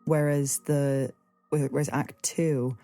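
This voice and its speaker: A woman's voice: very deep voice, flat delivery, slow, mellow, faint music behind. A woman interviewer in a reflective arts conversation, hesitant and searching as they rework their phrases aloud.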